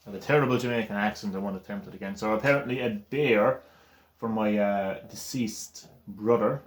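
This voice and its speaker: A man's voice: lowish voice, echoey room. Joking Irish man playing a game. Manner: joking, swears at enemies. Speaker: gaming channel host. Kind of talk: casual gameplay commentary.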